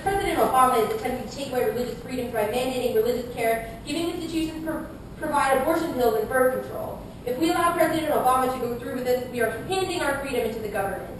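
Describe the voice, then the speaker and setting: A woman playing a combative male conservative presidential challenger, making accusatory scripted speeches in a puppet show debate. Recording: echoey room, distant mic, rumbling noise.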